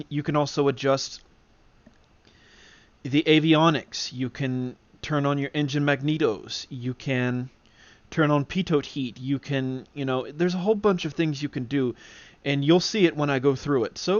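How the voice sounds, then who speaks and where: A man giving a casual spoken tutorial as an enthusiast, in a halting way with frequent throat clearing. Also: a little high, steady tone, no room sound. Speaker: demonstrator, flight simulator enthusiast. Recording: dull lo-fi close dry recording.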